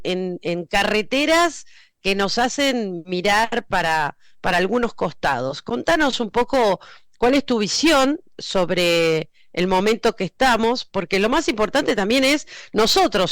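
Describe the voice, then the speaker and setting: Smooth, close-mic interview host. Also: female voice, mid-range voice, dry recording. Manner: rambling, hesitant questions. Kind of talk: interview hosting.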